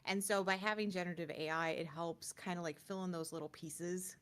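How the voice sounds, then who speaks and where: A woman's voice: lowish voice, steady tone, a little fast. A woman co-hosting a gaming show in casual, informal conversation, telling things in an anecdotal way. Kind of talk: casual chat about video games.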